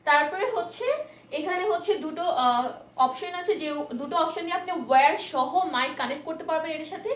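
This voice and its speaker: A Bangladeshi woman with a high voice gives an informal product demo. She explains step by step, a little haltingly, and drops in English words. The recording is echoey and distant from the microphone. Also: a bit bright. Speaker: product presenter. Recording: echoey room, distant mic, faint noise.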